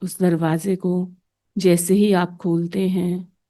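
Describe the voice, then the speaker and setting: A woman leading a soothing guided meditation in short, gently spaced phrases. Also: on the low side, little pitch movement, takes it slowly.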